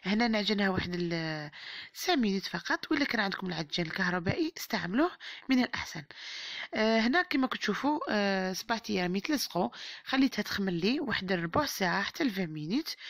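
A woman with an Algerian Arabic accent and a hoarse voice, chatting to viewers as she narrates a home baking tutorial. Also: mid-range voice, dry recording. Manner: chatty, warm-hearted blessings and advice to viewers. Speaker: cooking channel host. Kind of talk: home cooking tutorial voice-over.

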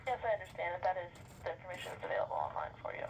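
Quick, creaky-voiced woman on speakerphone. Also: lowish voice, huge pitch swings. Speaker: senate office staffer taking calls. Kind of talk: formal phone customer service. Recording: noisy phone call on speakerphone.

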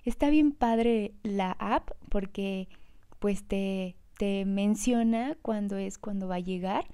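A woman's voice: mid-range voice, takes it slowly, mellow, clear, resonant tone. A Mexican woman casually narrating a travel vlog from a café terrace by an airport, talking straight to her viewers and fond of little diminutives. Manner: chatty, addresses viewers directly, fond of diminutives. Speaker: vlogger.

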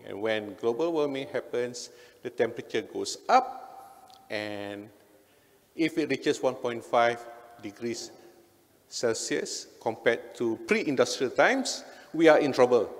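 A man's voice: medium pitch, vocal fry. A middle-aged Malaysian-accented man presents at a conference in an informal, conversational way. His mid-range voice often adds short check-in tags. The sound is that of a stage microphone in a reverberant hall. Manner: conversational, frequent "right?" and "okay" tags. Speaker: conference speaker, engineer. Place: indoor hotel event hall on stage.

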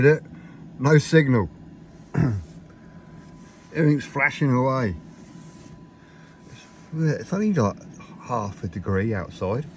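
A man chatting casually to camera from inside his camper van, rambling and enthusiastic, his voice a little hoarse. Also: mid-range voice, darkish tone, a little hiss or hum. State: slightly hoarse. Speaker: vlogger. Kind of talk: casual vlog chat.

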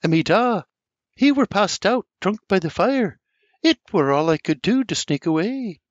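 A man's voice: expressive, measured pace, dry recording. Man doing a young earnest bride. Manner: high put-on voice, dialect speech. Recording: dull lo-fi dry recording.

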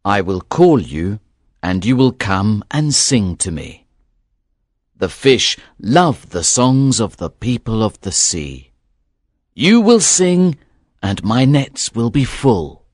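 A woman voicing a young male fisherman, earnest and simple, in a lowered voice for a storybook reading. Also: big pitch swings, slow, a little mellow, no echo, clean studio sound, full character voice. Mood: bargaining.

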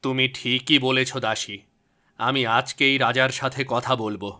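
A man's voice: mid-range voice, fairly even intonation, normal speed. A man voicing a loyal, consoling royal minister in a fairy-tale cartoon, earnest and deferential, with music behind him. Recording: loud music bed behind voice.

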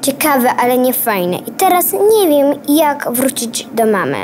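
A woman's voice: high-pitched, big pitch swings, a little slow, darkish tone, a little hiss or hum. A woman playing a polite, curious and unsure little girl in a children's radio play. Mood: polite, worried.

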